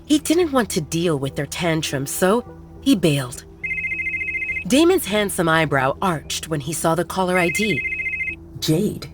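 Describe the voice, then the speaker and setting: A woman's voice: lowish voice, very animated, measured pace. A woman narrating a scripted romance audiobook in a dramatic storytelling style. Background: faint background music.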